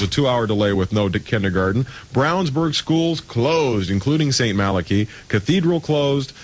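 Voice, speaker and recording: An American man hosting a morning radio show, chatting and reading announcements live in a breezy, joking, informal way. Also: medium pitch. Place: radio studio. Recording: radio aircheck with music underneath. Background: music.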